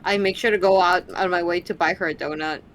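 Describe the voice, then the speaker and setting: A woman's voice: on the low side, steady tone, quick pace, a bit bright. Female player, casual and anecdotal, light background hiss. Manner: chatty, anecdotal, joking. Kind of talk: casual chat.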